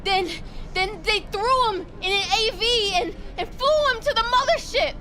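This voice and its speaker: An actress playing a fervent, paranoid devotee of a street prophet in a video game, agitated and zealous. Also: female voice, very high voice, moderate pace, noisy background. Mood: distraught, indignant. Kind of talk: dramatic video game voice acting.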